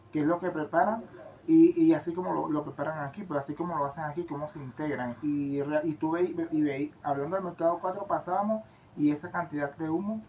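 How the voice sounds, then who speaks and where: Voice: medium pitch, slight background noise. Enthusiastic co-host, lo-fi. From Venezuela. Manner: enthusiastic, many fillers and restarts. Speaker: co-host of travel channel. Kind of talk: casual conversational commentary.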